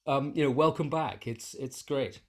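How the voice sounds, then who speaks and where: A middle-aged man being interviewed in casual conversation, speaking in a reflective, halting way as he thinks aloud. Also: medium pitch, a little mellow. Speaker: musician and teacher being interviewed.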